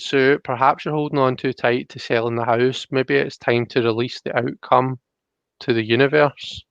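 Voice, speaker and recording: A man hosting a casual live stream over an online call, talking thoughtfully and haltingly and reading out comments. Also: mid-range voice, gravelly, smooth, no room sound, dull audio. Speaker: live stream host and card reader. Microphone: online call microphone.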